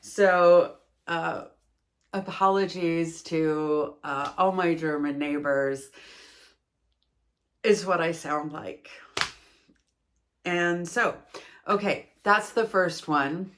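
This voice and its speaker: Slow, chatty Canadian woman. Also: low voice, fairly bright tone, slight background noise. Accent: Canadian English. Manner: chatty and rambling, self-deprecating, laughs at herself. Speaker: yarn reviewer, channel host. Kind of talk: casual chat, product review.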